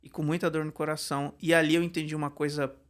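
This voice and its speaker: A man, a writing teacher telling stories in a casual podcast chat, animated and laughing often. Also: a little high, fast talker. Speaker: Portuguese and creative writing teacher, guest.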